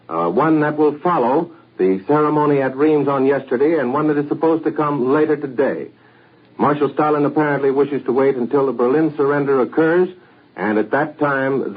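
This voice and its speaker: An American man anchoring a 1940s radio news broadcast in a measured, formal way, on an old radio recording. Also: mid-range voice, slightly rough, narrow, tinny audio.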